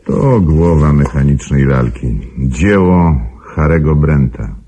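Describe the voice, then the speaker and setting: An actor playing a cold, calculating killer in a radio play, justifying himself with menacing regret in a gravelly, husky voice. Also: male voice, extremely low pitch, slow, dark tone, full character voice. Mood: self-justifying.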